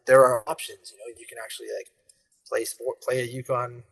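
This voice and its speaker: A man's voice: medium pitch, level tone, a little fast, husky. A man who leads a rugby federation, a guest in a casual interview, explaining things earnestly and step by step with many fillers.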